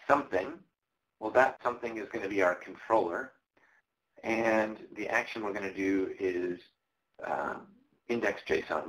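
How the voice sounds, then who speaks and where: Man, fairly low voice, hoarse, tech demo presenter, relaxed step-by-step explaining. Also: poor audio. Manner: casual, explanatory, frequent ums and uhs. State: losing his voice. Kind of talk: live coding walkthrough. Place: conference presentation.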